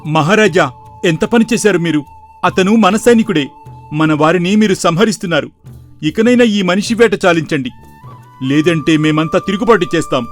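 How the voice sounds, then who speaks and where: Rebellious soldier, played by a man, higher-pitched, earnest protest, cartoon folk tale, faint music bed. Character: bold rebellious soldier reproaching and counselling his king. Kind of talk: storybook cartoon character voice.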